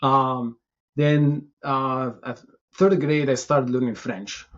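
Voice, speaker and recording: A Moroccan-born man whose first language is Tamazight, answering interview questions in a thoughtful, halting way, often restarting his phrases. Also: mid-range voice, fairly even intonation, fairly bright tone, dull audio. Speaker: city information security chief, guest.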